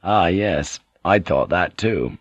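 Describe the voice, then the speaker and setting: A man's voice: low voice, expressive, slow, slightly rough, no echo, highs cut off, voice pushed down, full character voice. A man voicing a blunt, probing, passionate foreign sailor, speaking directly and insistently in audiobook dialogue. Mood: suspicious. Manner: direct, insistent, at times archaic phrasing.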